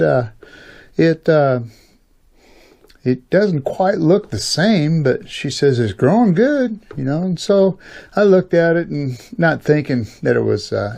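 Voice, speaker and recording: Male, middle-aged, American, gravelly and creaky, slightly high-pitched; casual folksy storyteller with an informal, halting delivery. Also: dark tone. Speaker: YouTube storyteller and channel host. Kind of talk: casual rambling personal storytelling.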